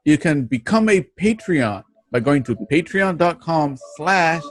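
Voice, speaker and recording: A man hosting an online show, giving a casual, halting sign-off with plugs and thanks. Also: fairly high pitch, lively intonation, no room sound. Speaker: host of an online radio drama show.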